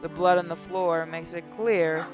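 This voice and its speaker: A narrator reading visual novel text aloud in a measured, dramatic way over game music. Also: medium pitch, level tone. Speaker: gaming channel narrator.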